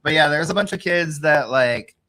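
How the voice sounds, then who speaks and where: Bright-voiced laid-back man from Hawaii. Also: fairly high pitch, a little fast. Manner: laid-back, swears a lot, laughs often. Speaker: podcast guest. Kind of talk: casual chat.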